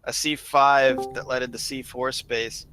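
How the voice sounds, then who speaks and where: A man's voice: mid-range voice, flat delivery, measured pace, bright, forceful tone, faint music behind. A man telling a story in a casual group chat as one of a gaming crew.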